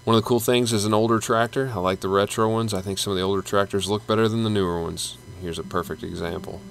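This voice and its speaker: A man giving casual, relaxed commentary as he walks through a large indoor exhibition hall, filmed on a handheld camera. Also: lowish voice, fast, gravelly, a little hiss or hum. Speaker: channel host.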